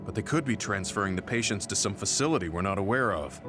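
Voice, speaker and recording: A man playing a stoic, skeptical veteran soldier in a video game, with a grave, measured delivery. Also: fairly low pitch, animated, lightly acted. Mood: suspicious, grave. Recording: music behind dialogue. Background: game soundtrack music.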